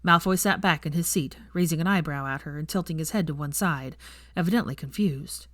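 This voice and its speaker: A woman's voice: low-pitched, fairly quick, fairly bright tone, dry recording, clean studio sound. A woman reading fiction aloud as a narrator, in a scripted audiobook style.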